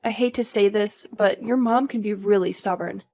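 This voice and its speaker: A woman playing a patient, tired but dutiful young newlywed wife in a scripted audio drama, speaking in a measured, polite conversational way. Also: medium pitch, steady tone, darkish tone, faint music behind, close to an everyday voice. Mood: mildly exasperated.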